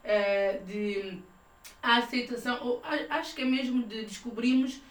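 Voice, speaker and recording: A woman's voice: a little high, unhurried. A woman from São Tomé hosting a podcast in a casual, conversational interview style. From São Tomé, moved to Portugal at ten. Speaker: podcast host. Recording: echoey room, distant mic, faint noise.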